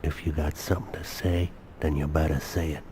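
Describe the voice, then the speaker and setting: An actor playing a cold, controlling male crime boss in a video game cutscene, speaking with deliberate menace. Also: rumbling low, flat delivery, warm tone, slight background noise. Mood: cold suspicion. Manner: deliberate, threatening.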